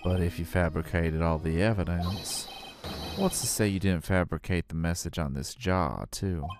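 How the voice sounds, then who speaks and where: A man's voice: low-pitched, music in the background, pitched below the performer's own voice. A man voicing a smug, menacing old police chief. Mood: smug, taunting. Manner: mocking, drawn-out, theatrical chuckles. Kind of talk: voiced visual novel playthrough.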